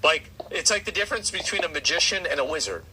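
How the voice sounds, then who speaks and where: A man's voice: fairly high pitch, animated, quick pace, bright, forceful tone, distant mic, a little hiss or hum. A man casually reacting to a video with a co-host, blunt and mocking. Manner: blunt, mocking, talks over others.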